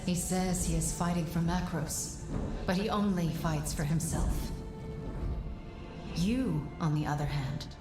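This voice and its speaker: A woman playing a grave, resolute guide in a video game, explaining things in an earnest, measured way. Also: on the low side, close to an everyday voice. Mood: solemn resolve. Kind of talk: dramatic video game cutscene acting. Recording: echoing room under prominent music. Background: music.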